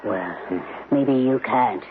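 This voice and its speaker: A man's voice: mid-range voice, very slow, background noise. An actor in a radio drama playing a blunt, probing male acquaintance with a folksy, questioning manner. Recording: muffled, tinny old radio recording.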